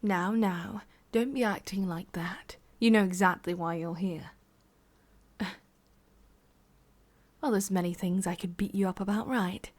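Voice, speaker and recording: A woman's voice: mid-range voice, steady tone, a little slow, long pauses, close to an everyday voice. A woman with an English accent playing a sadistic, condescending boss in an ASMR roleplay, whispery and menacing. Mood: stern, commanding. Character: sadistic, domineering female boss scolding an employee.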